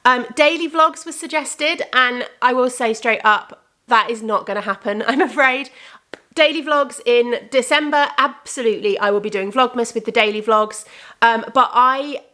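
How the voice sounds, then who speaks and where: Woman podcast host, slightly congested, rambling and friendly, fairly high-pitched. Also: normal speed, bright, forceful tone. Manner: chatty and rambling, with frequent self-corrections. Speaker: podcast host and indie yarn dyer. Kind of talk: casual chat to camera. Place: room with bookcases of yarn behind her.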